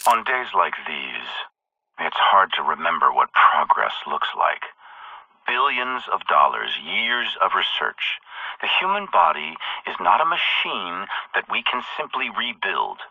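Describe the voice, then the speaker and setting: A man playing a ruthless, grandiose military commander in a game, delivering a deliberate, menacing, oratorical monologue through a radio-style filter. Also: low voice, big pitch swings, gravelly, husky, dry recording, heavily acted. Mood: grave, reflective. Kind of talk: dramatic scripted audio-log monologue. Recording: tinny radio-like band, dry.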